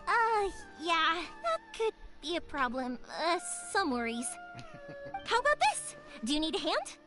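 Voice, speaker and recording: An actress playing a cheerful young fireworks maker in a video game, bubbly and eager, with music behind. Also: female voice, very high voice, sing-song, a little slow, heavily acted. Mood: upbeat.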